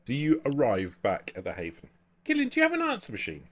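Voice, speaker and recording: A man's voice: medium pitch, wildly swooping pitch, fast talker, fairly bright tone. A man running a tabletop roleplaying game as game master, narrating off the cuff.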